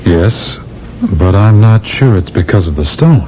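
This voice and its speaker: Persuasive astronaut captain in an old radio play, lower voice with lively pitch, dull broadcast sound. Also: male voice, measured pace, a little hiss or hum. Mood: pleading. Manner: earnest, persuasive, lively delivery. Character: earnest male astronaut captain, narrating. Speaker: narrator and lead. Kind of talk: 1970s radio drama acting.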